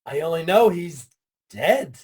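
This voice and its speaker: A woman's voice: deep voice, expressive, slow pace, darkish tone, breathy edge, exaggerated voice. A woman playing an indifferent, self-serving gossip in a radio play, speaking in an offhand way.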